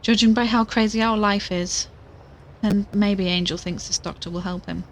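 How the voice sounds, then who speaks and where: An actress playing a worried, determined young podcaster in an audio drama, earnest and conversational. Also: female voice, medium pitch, fairly even intonation, normal speed, slight background noise, lightly acted, poor audio. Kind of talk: audio drama dialogue and recorded narration.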